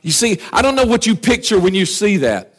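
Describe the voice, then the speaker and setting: A man preaching a sermon with fervor, often dropping to quiet asides. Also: high voice, very animated, brisk, breathy edge. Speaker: pastor. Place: church.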